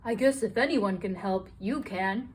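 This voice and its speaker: A woman voicing an eager, boastful young male storyteller in a children's storybook, excited and earnest. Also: mid-range voice, moderate pace, natural voice.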